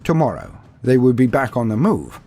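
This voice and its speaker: A man's voice: medium pitch, wildly swooping pitch, moderate pace, warm tone, slightly rough. A man giving a scripted, dramatic narration of fantasy war lore. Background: music.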